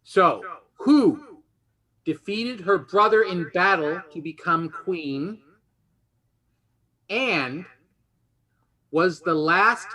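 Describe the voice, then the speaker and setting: Male game show host, high and bright voice, chatty, casual, rephrasing as he goes. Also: animated, very slow, long pauses, rough recording.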